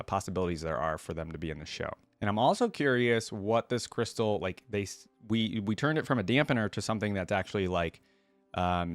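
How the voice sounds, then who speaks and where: A man's voice: on the low side, no echo. A man hosting a reaction video, reading game lore aloud and adding casual commentary of his own.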